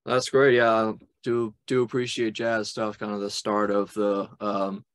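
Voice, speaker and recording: Young man, lowish voice. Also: completely flat delivery, measured pace, dry recording, studio quality. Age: 20s. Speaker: webcast host, interviewer. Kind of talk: casual interview chat. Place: plain indoor room. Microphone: video call.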